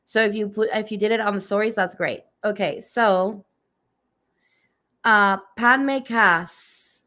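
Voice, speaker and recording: A woman with a slightly hoarse voice giving a live spiritual reading, speaking softly and unhurriedly with many fillers. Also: mid-range voice, slow. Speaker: energy healer giving readings. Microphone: phone or webcam on a livestream.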